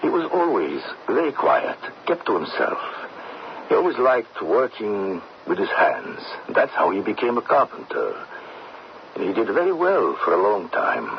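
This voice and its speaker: A man acting a kindly, grave middle-aged village doctor in an old-time radio drama, measured and consoling. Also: fairly low pitch, huge pitch swings, creaky, exaggerated voice. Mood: reflective. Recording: dull, faintly noisy old radio broadcast.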